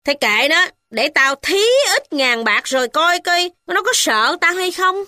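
A woman voicing a shrill, imperious older village matron who scolds and bullies. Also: lively intonation, rapid-fire, sharp tone, no room sound, studio quality. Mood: scornful.

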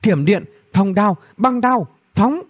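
A man's voice: mid-range voice, very animated, a little fast, slightly rough. A man voicing a haughty, hot-tempered woman mage, scornful and threatening, in a fantasy audiobook. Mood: fierce shouting. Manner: scornful, threatening, spell-shouting.

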